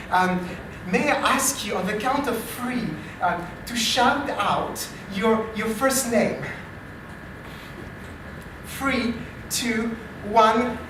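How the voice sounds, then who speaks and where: A man with a French accent, an AI researcher hosting a live improv comedy show, talking in a dry, self-deprecating way with halting restarts. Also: high-pitched, lively intonation, noisy background. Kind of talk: live comedy stage talk. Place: live comedy meetup venue. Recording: distant, very echoey live venue.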